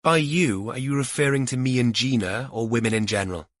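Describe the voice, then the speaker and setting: A man's voice: medium pitch, brisk, dry recording, voice pushed up, close to an everyday voice. A man voicing a composed, teasing woman police officer with a dry, questioning manner.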